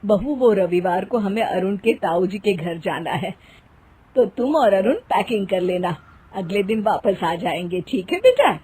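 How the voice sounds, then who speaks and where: A woman playing a caring, trusting older mother-in-law in a moral story, gently directing the family in a motherly manner. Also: mid-range voice, sing-song, unhurried, husky, full character voice. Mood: friendly. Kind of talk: acted Hindi moral-story dialogue.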